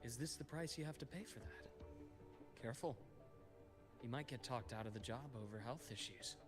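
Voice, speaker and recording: An actor playing a calm, caring male doctor with a measured, reassuring way of speaking. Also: medium pitch, natural voice. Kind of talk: game voice acting. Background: game music.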